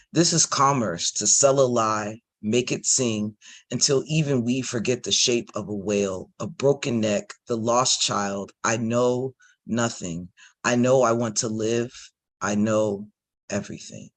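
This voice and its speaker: A man's voice: mid-range voice, measured pace. A man giving a dramatic reading of persona poetry.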